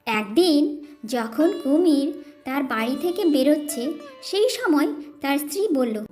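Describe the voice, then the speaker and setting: Female narrator for kids' cartoon fable, high voice, scripted storytelling over music. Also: expressive, unhurried, darkish tone. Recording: music behind the voice.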